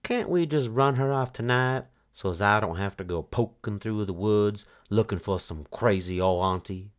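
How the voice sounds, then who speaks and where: A man's voice: on the low side, normal speed, natural voice. A man voicing a spoiled, scornful girl in a children's storybook, whining in a folksy rural dialect. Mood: whiny.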